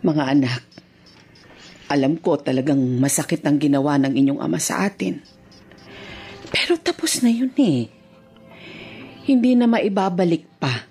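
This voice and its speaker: A woman playing a middle-aged, forgiving, long-suffering mother in a Filipino radio drama, gentle and earnest. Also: low voice, measured pace, long pauses, slightly rough, faint music behind, full character voice.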